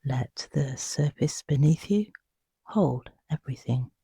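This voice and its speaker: A meditation guide with a soft, breathy voice, narrating a sleep meditation in a hushed, soothing, unhurried way with long pauses. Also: mid-range voice, animated, dry recording, studio quality.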